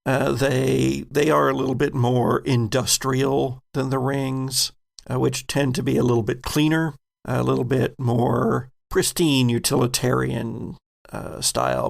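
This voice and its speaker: Male game master: slow, deeply creaky voice, improvised scene-setting, measured and descriptive. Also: flat delivery.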